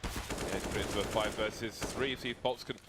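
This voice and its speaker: A lower-voiced man calls a shooter match play-by-play, with the energy of a caster who builds with the action. Between calls he banters and jokes with his partner. Loud game gunfire runs under everything he says. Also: fairly even intonation, a little fast, heavy background noise. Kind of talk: esports play-by-play commentary. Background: game sounds, gunfire.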